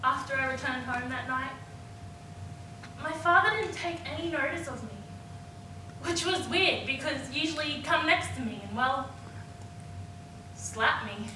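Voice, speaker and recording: An anguished young woman, acted by a woman in a stage monologue, speaks slowly in a high voice with heavy dramatic pauses. Her theatrical delivery is heard from far off in a very echoey, noisy room. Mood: hushed sorrow. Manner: halting, dramatic pauses, swinging from hushed to screamed.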